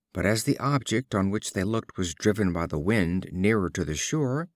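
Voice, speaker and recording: Low-voiced guy narrating old fables, expressive and measured, really dry close sound. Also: dry recording. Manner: measured, expressive storytelling delivery. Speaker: audiobook reader. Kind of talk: scripted storybook narration of fables.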